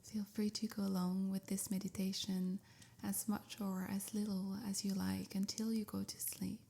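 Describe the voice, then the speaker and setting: A woman softly guiding a sleep meditation in a breathy near-whisper, with soothing, measured phrasing. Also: medium pitch, level tone. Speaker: meditation guide. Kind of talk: guided sleep meditation, scripted.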